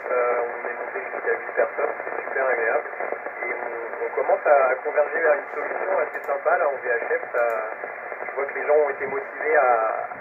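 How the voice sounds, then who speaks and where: A man's voice: mid-range voice, fairly even intonation, fairly quick. A man chatting casually as the controller of an amateur radio net, hesitantly searching for words, over a radio transmission. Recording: noisy, narrowband, distant ham radio transmission.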